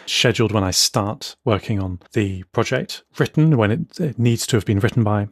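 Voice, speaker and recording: A man's voice: fairly low pitch, animated, normal speed, no echo, studio quality. A man co-hosting a podcast, enthusiastically walking through his note-taking setup in casual tech chat. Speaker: podcast co-host.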